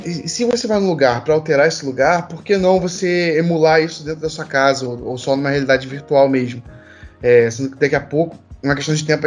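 Higher-voiced Brazilian man thinking aloud. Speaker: panel participant. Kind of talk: casual discussion, thinking aloud.

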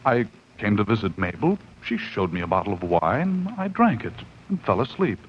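A man's voice: on the low side, sing-song, normal speed. An actor playing a haunted man unravelling into madness, melodramatic and overwrought in 1940s radio horror style. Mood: pleading. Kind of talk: 1940s radio horror acting, dramatic narration. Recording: muffled, faintly noisy 1940s radio recording.

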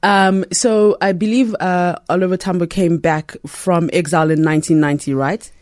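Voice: mid-range voice, fairly even intonation, normal speed, a little mellow, no room sound, studio quality. An interview host who asks questions eagerly and affirms the guest with enthusiasm. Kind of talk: conversational interview.

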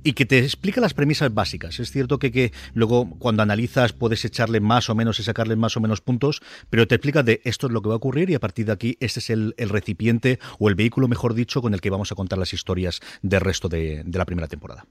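A male panelist talks quickly in a fairly low voice. He sounds conversational, in a dry recording. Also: no echo. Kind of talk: casual panel chat.

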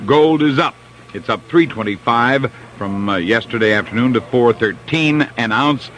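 An American man giving a live radio market report in a halting, chatty way. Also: mid-range voice, fairly bright tone. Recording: noisy old radio broadcast recording.

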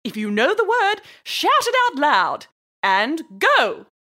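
A woman playing cheerful, encouraging characters in a children's audio story, in a very expressive manner. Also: high voice, slow pace, heavily acted. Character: cheerful, expressive young girl and encouraging schoolteacher.

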